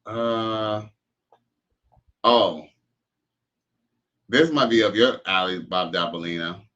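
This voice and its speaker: Host type: lower-voiced, bright and edgy man, crude and joking, muffled lo-fi stream audio. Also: takes it slowly. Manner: crude, joking, loose slang. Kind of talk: casual reaction commentary.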